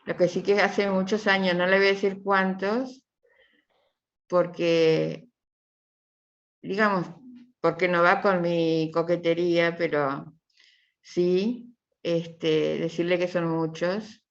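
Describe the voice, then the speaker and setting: A middle-aged Uruguayan woman teaches a class in a fairly low voice. She talks in a chatty, meandering way, often circling back on her phrases. The recording is muffled and low in fidelity. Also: steady tone, slow pace. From Uruguay, from Tacuarembó. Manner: chatty, rambling, repeats phrases. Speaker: university professor. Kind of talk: informal online class introduction.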